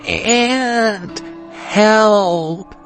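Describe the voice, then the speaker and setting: A woman's voice: medium pitch, very slow, soft background music, voice pushed down. A woman voicing a carefree, drowsy male grasshopper in a children's storybook, with a languid, easygoing drawl. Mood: desperate. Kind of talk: animated children's storybook read-aloud.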